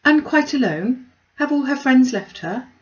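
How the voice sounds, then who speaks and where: A woman's voice: high voice, expressive, measured pace, pitched above the performer's own voice. A spirited, witty young woman from a classic novel, voiced by a woman reader. Her delivery is articulate and pointed. The recording sounds slightly dull. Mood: eager questioning.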